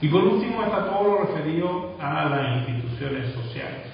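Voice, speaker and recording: A man from Latin America giving a measured lecture, often adding tag questions and pausing between phrases. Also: fairly high pitch, expressive, a little hiss or hum. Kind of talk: recorded lecture. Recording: echoey distant room recording, dull.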